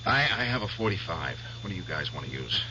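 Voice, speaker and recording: A bitter, menacing veteran. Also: male voice, sits low, big pitch swings, a little fast, noisy background. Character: obsessed veteran hunting an old comrade. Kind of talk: 1970s radio drama acting. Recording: dull vintage radio broadcast.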